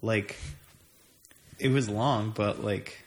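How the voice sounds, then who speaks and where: A man's voice: on the low side, steady tone, drawn-out words. An American man in casual conversation, talking in a hesitant way as he thinks aloud. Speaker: conversation partner swapping travel stories. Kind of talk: casual chat.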